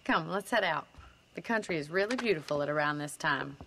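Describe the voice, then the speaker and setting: A woman's voice: low voice, lively intonation, background noise. A teasing young ranch woman. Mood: businesslike. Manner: teasing, brisk, plainspoken. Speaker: ranch owner. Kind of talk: video game cutscene dialogue.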